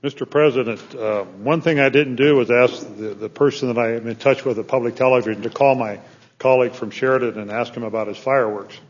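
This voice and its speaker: A man's voice: mid-range voice, fairly even intonation, fairly quick. Earnest man making a floor speech. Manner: earnest, plain-spoken, with restarts. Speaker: state senator. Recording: dull lo-fi chamber audio.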